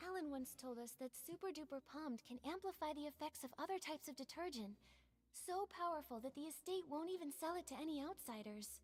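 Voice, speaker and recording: A woman's voice: high-pitched, expressive, fairly quick. A woman voicing an earnest young female detective companion in a video game, reasoning things through.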